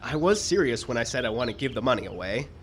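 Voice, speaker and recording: A man's voice: medium pitch, sing-song, fast, slight background noise, close to an everyday voice. An actor playing a terse, stubborn and greedy gunman in live old-time radio style. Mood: guarded. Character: laconic armed man turning stubborn and greedy.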